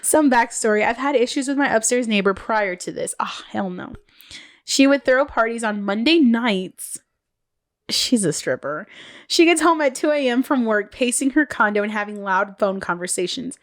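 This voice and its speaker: A woman's voice: on the high side, animated, moderate pace. A woman co-hosting a podcast, casually reading revenge stories aloud and laughing often, sounding nasal from a cold. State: nasal, getting sick. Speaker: podcast co-host.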